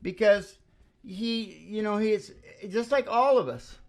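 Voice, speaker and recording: A woman's voice: mid-range voice, takes it slowly. A woman musician chatting casually and informally between songs at a rehearsal, rambling with giggly asides. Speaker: musician and show performer. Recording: dull lo-fi rehearsal recording with guitar.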